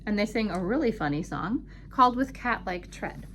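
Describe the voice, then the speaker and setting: A woman's voice: mid-range voice, animated, moderate pace, fairly bright tone, a little hiss or hum. A woman telling a puppet-show story for children in a playful, informal way with simple explanations.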